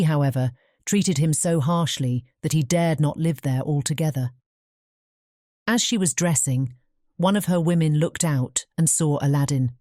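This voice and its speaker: A woman's voice: sits low, warm tone, no room sound, clean studio sound. A woman narrating a fairy-tale audiobook in a scripted storybook style.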